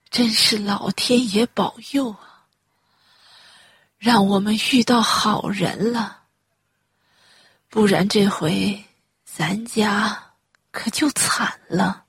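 An actress playing a frail, grateful mother in a radio drama, her voice husky and breathy. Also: mid-range voice, fairly bright tone, no echo, studio quality, heavily acted. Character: ailing, relieved, grateful mother of a young man.

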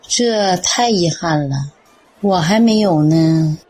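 A woman acting in a radio play as an outspoken, emotional bystander with a husky voice. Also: on the low side, slow pace, darkish tone, slight background noise. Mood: regretful. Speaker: radio drama actor.

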